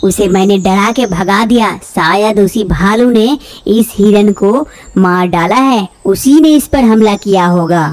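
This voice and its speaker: Lady doing a protective lion for a kids animal cartoon, concerned, exclaiming, mid pitch. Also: slow pace, darkish tone, lightly acted. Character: watchful male lion, protector of the jungle.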